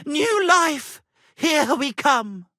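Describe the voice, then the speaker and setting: Theatrical, pompous minstrel, swooping pitch. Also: male voice, very animated, drawn-out words, pushed and bright, no room sound, clean studio sound, heavily acted. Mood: elated, exclaiming. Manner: florid, grandiose, declamatory, swinging between rapture and despair. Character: pompous, flattering, melodramatic aspiring medieval minstrel, self-styled artist. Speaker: video game voice actor. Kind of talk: theatrical video game character acting.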